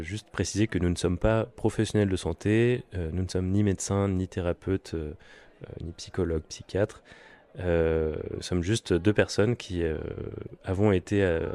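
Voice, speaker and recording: Male podcast host, low voice, casual delivery, hesitant phrasing with frequent searching for words. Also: warm tone. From France (Nantes). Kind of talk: casual conversational podcast talk.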